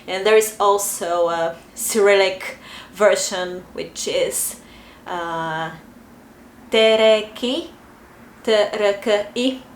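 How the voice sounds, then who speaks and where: A woman's voice: mid-range voice, slow pace, a little hiss or hum. Bulgarian-accented woman chatting in echoey room. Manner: chatty, hesitant, many fillers. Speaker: vlogger. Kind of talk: casual talk to camera. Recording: echoey room, faint ambience.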